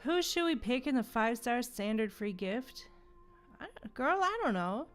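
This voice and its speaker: A woman's voice: on the high side, sing-song, normal speed, clean tone. A woman livestreaming a game, chatting casually and musing aloud in an informal, slangy way. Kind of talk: casual live stream chat and commentary.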